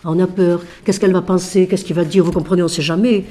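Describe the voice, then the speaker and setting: A woman, a Franciscan sister and author, talks with feeling about her book, swinging from emphatic questions to quiet asides while she has a cold. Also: lowish voice, quick pace. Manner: expressive, shifting from loud emphasis to quiet asides; asks rhetorical questions.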